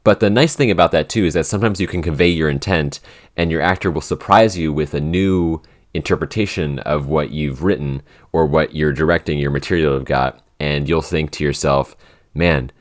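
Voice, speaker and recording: A man's voice: a bass voice, a little fast. A young man in his twenties, co-hosting a casual Q&A chat and rambling with lots of "like" and restarts. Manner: rambling, full of "like" and restarts, easy laughs. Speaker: podcast co-host, writer and director. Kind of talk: casual behind-the-scenes Q&A chat.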